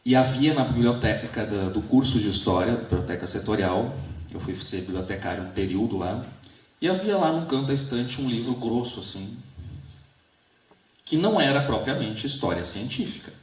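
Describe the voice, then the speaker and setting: A man from Brazil, a professor speaking on a literature panel. He talks in a reflective, measured way, often adding "né". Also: on the low side. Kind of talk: panel discussion with personal anecdotes. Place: auditorium at a literary festival. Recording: echoey hall, distant mic.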